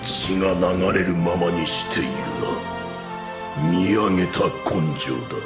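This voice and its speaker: A man playing a grave, measured overlord who comments with composure in an anime audio drama. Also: low-pitched. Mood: grave, impressed. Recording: echoing voice over prominent music.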